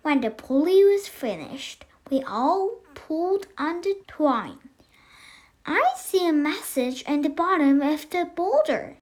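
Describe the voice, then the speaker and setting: Young girl, storybook reader, high-pitched and slow, deliberate delivery. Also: sing-song. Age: child, about 8. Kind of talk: storybook read-aloud.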